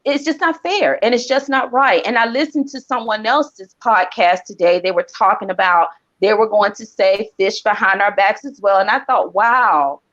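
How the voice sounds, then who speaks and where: A woman's voice: mid-range voice, moderate pace, few pauses, fairly bright tone. A woman calling into a live discussion who speaks sincerely and appeals for fairness. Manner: sincere, hurt, appealing for solidarity. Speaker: caller on a live stream. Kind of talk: casual discussion. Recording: dull lo-fi call audio.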